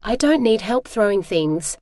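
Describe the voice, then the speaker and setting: A woman's voice: mid-range voice, big pitch swings, unhurried, a little mellow, dry recording, studio quality. A woman voicing a blunt young pathologist. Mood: blunt, irritated.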